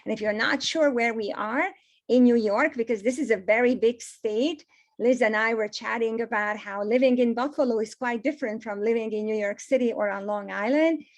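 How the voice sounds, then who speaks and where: A woman's voice: on the high side, normal speed. A woman in her fifties from Hungary, with a Hungarian accent, giving a gracious, emphatic webinar presentation over a video call.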